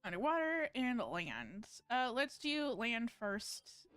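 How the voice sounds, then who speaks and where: A woman's voice: fairly high pitch, big pitch swings, slow pace, fairly bright tone, clear, resonant tone, no echo, faint music behind. A woman giving casual live-stream commentary on a game, rambling and thinking aloud as she plays.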